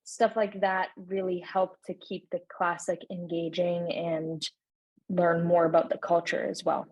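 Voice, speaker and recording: A woman's voice: fairly low pitch, flat delivery, measured pace, rough recording. A teenage girl student on a video call, chatty, with many fillers. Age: teens. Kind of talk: panel testimonial.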